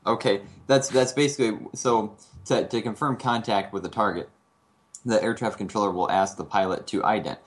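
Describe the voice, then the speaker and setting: Male guest with a low, deep pitch, explaining and questioning conversationally. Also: fairly quick. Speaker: guest, flight instructor.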